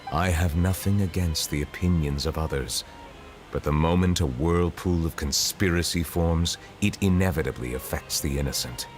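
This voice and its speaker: A deep-voiced, dignified game character: a dragon in human form serving as chief justice. He is solemn and stiffly courteous, choosing measured, formal words over faint music. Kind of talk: video game voice acting.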